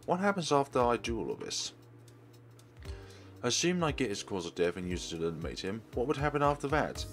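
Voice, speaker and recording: A man's voice: medium pitch, sing-song, a little fast, faint music behind. A man reading a visual novel's text aloud expressively as a let's player, in a formal manner with small stumbles. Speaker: let's play streamer. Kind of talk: dramatic read-aloud of game text.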